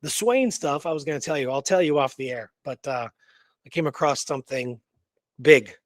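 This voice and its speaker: Higher-voiced man, excitable radio co-host. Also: normal speed, no room sound, studio quality. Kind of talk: casual enthusiastic discussion.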